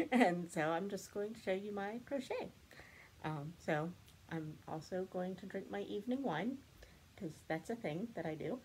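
A young woman chatting casually to camera in a small room, stuffed up with a cold, rambling and laughing often. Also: on the low side. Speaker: book and craft vlogger. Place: small room indoors, seated before a cabinet.

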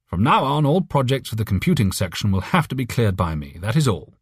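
A man's voice: on the low side, sing-song, fairly quick, breathy edge, no echo, clean studio sound, voice pushed up. Man doing a stern, pompous army general. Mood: stern, officious. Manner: over-emphatic, officious. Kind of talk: audiobook character reading.

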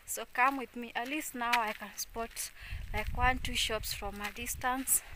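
A woman's voice: a little high, fairly even intonation, measured pace, background noise. A middle-aged Kenyan woman vlogging as she walks along a rural road, chatting to her viewers as "guys", recorded on a phone held at arm's length. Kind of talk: walking vlog chat. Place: rural roadside outdoors.

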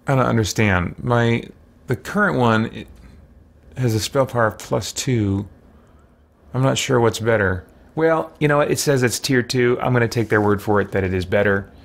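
A man's voice: mid-range voice, moderate pace, creaky. A man in his forties giving casual gameplay commentary as a streamer, musing and hesitant as he thinks aloud. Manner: hesitant, musing, talking to himself. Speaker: game streamer. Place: small indoor room at home with shelves.

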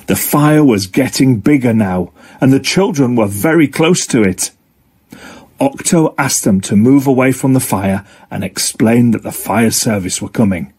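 A man narrating a scripted children's story in an expressive, deliberate way. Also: medium pitch, slightly rough.

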